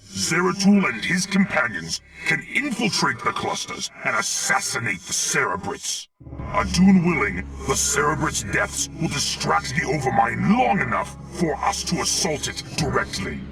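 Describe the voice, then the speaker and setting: Alien battle adviser, lively creaky voice, formal briefing, sounds far off w music behind. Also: male voice, on the high side, a little slow, exaggerated voice. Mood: urgent, formal. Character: dutiful alien military adviser, earnest and formal. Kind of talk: dramatic video game acting.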